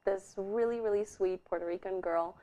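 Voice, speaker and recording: A woman's voice: mid-range voice, moderate pace. A woman from Poland, a guest in a studio interview, telling childhood stories with frequent laughter. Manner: laughs often, animated storytelling. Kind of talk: casual interview storytelling. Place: television studio.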